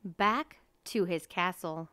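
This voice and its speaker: Female picture-book narrator: unhurried, deliberate, smooth clear voice, close dry sound. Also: lowish voice, bright, forceful tone, no echo. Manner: measured, deliberate storytelling. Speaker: storybook reader. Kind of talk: children's picture book read-aloud.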